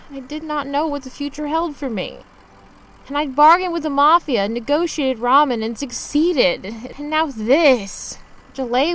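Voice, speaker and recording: Audio drama lead: woman as fretful young driver, high voice, expressive first-person storytelling, some background noise. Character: anxious, scrappy young driver narrating their own misadventures.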